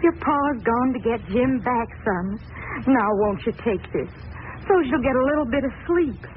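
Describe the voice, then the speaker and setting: A woman's voice: on the high side, animated, normal speed, natural voice. A woman playing a worried, tender farm mother, soothing and coaxing, in an old-time radio drama. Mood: anxious, soothing. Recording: tinny, hissy old radio broadcast.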